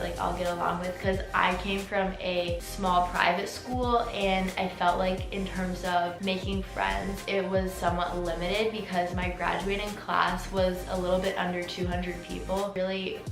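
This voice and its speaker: An eighteen-year-old woman answering viewer questions in a casual vlog, chatty and full of "like" fillers, her voice a little rough from a sore throat. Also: fairly low pitch. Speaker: vlogger. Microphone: camera mic. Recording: echoey room with music underneath.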